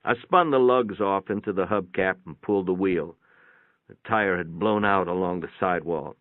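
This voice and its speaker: A man's voice: deep voice, normal speed. A man reading a first-person short story aloud in a folksy, plainspoken storytelling manner. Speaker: narrator. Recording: boxy room echo, tinny phone-like sound.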